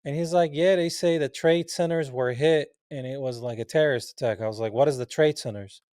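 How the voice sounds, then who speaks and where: A man's voice: medium pitch, fairly quick, dry recording, studio quality. Man telling stories animatedly. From Palestine. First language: Arabic. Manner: animated, acts out quoted dialogue, laughs often. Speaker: guest. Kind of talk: casual interview storytelling.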